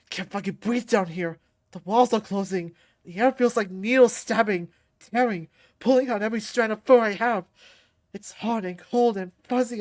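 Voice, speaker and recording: A woman reading a visual novel aloud and voicing every character herself. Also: medium pitch. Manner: shifts into loud outbursts for angry lines.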